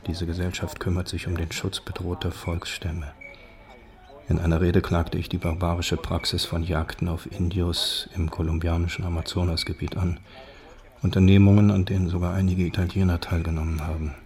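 Low, even-toned male documentary narrator. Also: normal speed. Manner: sober, factual reading. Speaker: narrator reading documents and facts.

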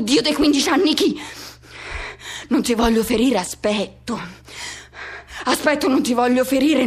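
A woman performing a radio theatre monologue as an anguished, pleading lover recalling a forbidden teenage love, in urgent, halting phrases that insistently repeat. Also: a little high, husky. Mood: agitated, pleading.

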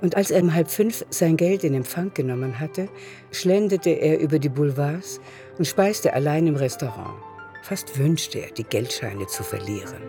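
Woman narrating a literary radio play, dark relaxed voice, music behind. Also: sits low, normal speed.

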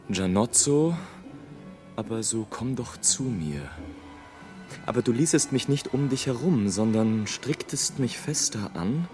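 Slow, low-voiced melancholic airship dreamer. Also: male voice. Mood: agitated, calling out. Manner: slow, poetic, dramatic delivery with swells and hushed drops. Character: melancholic, dreamy male airship traveller, brooding and rapturous. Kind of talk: German radio play monologue, literary and theatrical.

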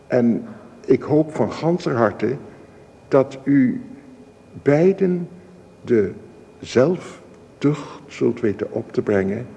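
Solemn church elder, radio drama, measured ceremonial delivery, mellow tone, hissy old recording. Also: male voice, mid-range voice, creaky, long reverb tail. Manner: formal, measured, preachy. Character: solemn male church elder presenting a wedding Bible.

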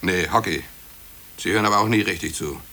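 A man's voice: low-pitched, a little fast, creaky, bright, forceful tone, full character voice. An actor playing a breezy, sporty younger male office colleague with a chatty, colloquial manner in a 1950s German radio play. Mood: cheerful, chatty. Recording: faintly noisy old cassette radio copy.

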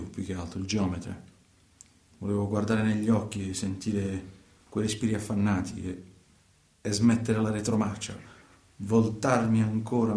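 An actor playing a man who tells his own night-driving story in a halting, repetitive, colloquial radio monologue. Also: lowish voice, dark tone, natural voice. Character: agitated male night driver telling his own story. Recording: roomy, echoing sound.

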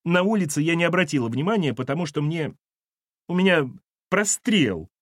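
A man's voice: mid-range voice, very animated, normal speed, darkish tone, husky, no echo, studio quality. A man voicing a flustered older housekeeper woman, self-pitying, lamenting and breaking off in sobs, in an audiobook. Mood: anguished. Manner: sobbing, lamenting, breaking off mid-sentence.

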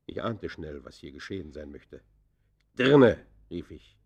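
Bitter old-school radio play outlaw, low pitched, deliberate and literary, confessing his crimes. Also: lively intonation, gravelly, darkish tone, breathy edge, exaggerated voice. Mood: bitter, disdainful. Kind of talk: German classic radio play acting.

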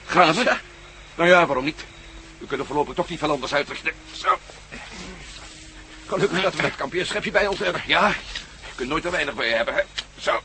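A man's voice: a little high, pushed and bright. A man acting a wary, wry adventurer in a Dutch radio play, with a Dutch accent. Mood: curious, tense. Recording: noisy old radio drama with outdoor ambience.

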